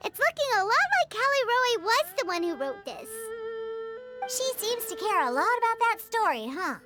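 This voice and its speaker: A tiny floating game fairy with an extremely high, squeaky child voice. The delivery is bubbly and exclamatory, swinging wildly up and down over background music. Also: female voice, big pitch swings, normal speed. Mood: chirpy. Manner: refers to self in third person, exclamatory. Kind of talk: video game cutscene acting. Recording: music behind the voice.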